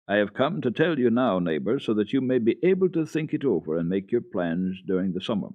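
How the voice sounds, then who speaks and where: A man's voice: lowish voice, big pitch swings, fairly quick, no room sound, narrow, tinny audio. A man voicing an earnest village pastor in a storybook reading, admonishing yet friendly, with a hearty, preaching manner. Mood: stern, urging.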